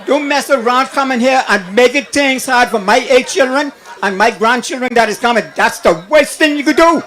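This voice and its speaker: A man's voice: very high-pitched, normal speed, pushed and bright. A Bahamian man in his sixties calling in to a talk show, ranting in a fiery, preachy way and repeating his warnings. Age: 60s. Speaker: caller. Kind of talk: impassioned call-in rant.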